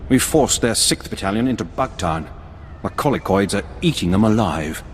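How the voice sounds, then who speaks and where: Seasoned male Imperial colonel for a game, played by an actor: lowish pitch, brisk military formality, dutiful. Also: animated, a little hiss or hum, close to an everyday voice. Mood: satisfied. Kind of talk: dramatic video game acting. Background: background music.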